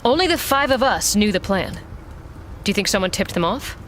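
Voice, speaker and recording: Tough female mech pilot, played by a woman: medium pitch, dry and blunt, casual audio drama delivery, faint ambience. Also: expressive, a little fast, noisy background, close to an everyday voice. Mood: wry, matter-of-fact. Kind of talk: science fiction audio drama acting.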